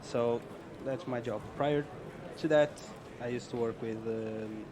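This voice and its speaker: Man, halting speech, noisy outdoors. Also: mid-range voice, fairly even intonation. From Portugal. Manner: halting, repeats words, non-native phrasing. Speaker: interview guest, sales promoter. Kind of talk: casual on-camera interview.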